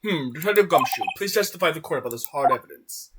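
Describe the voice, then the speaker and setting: A man's voice: on the high side, lively intonation, fast, close to an everyday voice. Guy as a stern old game judge, formal, swoops up and down, really noisy recording. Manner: solemn, formal, pronouncing. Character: stern, formal elderly male courtroom judge. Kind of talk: video game fan dub character acting.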